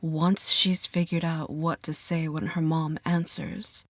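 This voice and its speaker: A woman's voice: deep voice, flat delivery, slow pace. A woman reading scripted, dramatic story narration.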